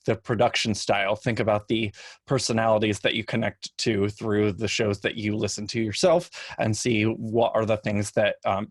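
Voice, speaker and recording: A man giving an informal instructional panel talk in a measured, explanatory way, speaking into a microphone in front of him. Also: lowish voice, no room sound. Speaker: podcast production expert on a panel. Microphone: standard microphone in front of his face.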